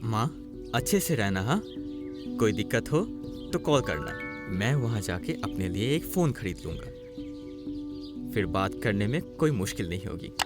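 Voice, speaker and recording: Man playing an animated, earnest young villager. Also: on the low side, moderate pace, long pauses, over loud music. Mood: reassuring. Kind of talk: Hindi cartoon story acting.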